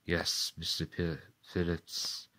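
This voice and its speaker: A man playing a measured, puzzled professor of science in a radio play, speaking quietly and hesitantly. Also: low voice, monotone, pitched below the performer's own voice, exaggerated voice. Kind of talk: old radio play dialogue.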